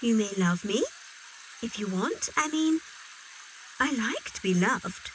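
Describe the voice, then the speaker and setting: Young female romantic lead, period audio drama, played by a woman: high, animated, arch and guarded heiress. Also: slow pace, a little hiss or hum, low-quality recording. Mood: arch, coy. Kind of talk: period radio drama acting.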